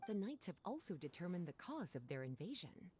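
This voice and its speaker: An actress playing a poised, gently indulgent and teasing woman in a video game, with a languid, honeyed delivery. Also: lowish voice, big pitch swings, a little fast, husky, heavily acted. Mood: smooth, indulgent. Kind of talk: video game character acting.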